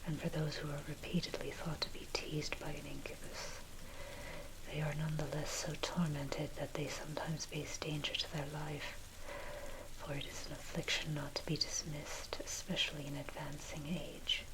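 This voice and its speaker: A woman whispers a scripted ASMR narration in a breathy, measured, scholarly way. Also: deep voice, flat delivery, low-quality recording. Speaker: narrator reading own history blog post. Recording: loud rain noise over voice. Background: rain and thunder sounds.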